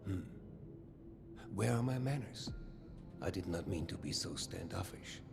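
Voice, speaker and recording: A man's voice: sits low, expressive, measured pace, creaky, music in the background, heavily acted. An actor playing a suave, pompous older German vault overseer and businessman in a video game, courtly and flamboyant in manner. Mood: charmed, intrigued. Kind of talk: scripted video game dialogue.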